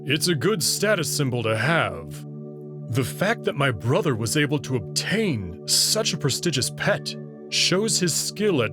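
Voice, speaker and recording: A man voicing a proud but guarded young male wolf alien prince in a visual novel, explaining himself earnestly and reluctantly. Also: mid-range voice. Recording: clear music behind the voice.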